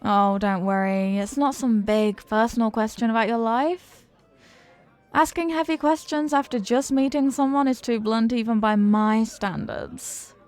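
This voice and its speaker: A woman's voice: on the high side, a little slow, a little mellow, natural voice. A woman playing a flirty, confident, playful stranger in an intimate roleplay, with a teasing, coy, sweet-talking manner. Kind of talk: intimate roleplay audio acting.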